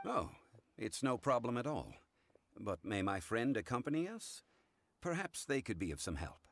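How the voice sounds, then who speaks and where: An actor playing a calm, learned gentleman in a game, speaking in a measured, formal and courteous manner. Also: medium pitch, no room sound. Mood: genial, assured. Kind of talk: English game voice acting.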